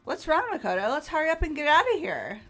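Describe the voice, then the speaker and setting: Woman voicing an excitable high-pitched high school girl. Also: fast, soft background music, full character voice. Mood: alarmed, indignant. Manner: bubbly, exclamatory. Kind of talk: fan voice acting of a video game.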